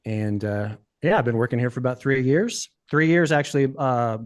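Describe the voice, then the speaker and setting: A man in his forties from the United States, chatting as a podcast guest in a relaxed, rambling way, with many fillers. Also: mid-range voice, normal speed. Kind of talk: casual interview chat.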